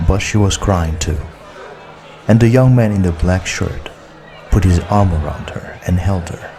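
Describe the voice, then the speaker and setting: Deep-voiced, slow-paced male audiobook narrator. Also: warm tone. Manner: measured, deliberate storytelling. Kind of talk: scripted story narration.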